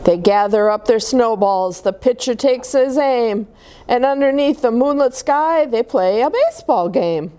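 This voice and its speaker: A woman reading picture books aloud to young children, with expressive, deliberate phrasing and chatty asides to her listeners. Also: fairly high pitch, slight background noise. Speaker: children's story-time reader at a church.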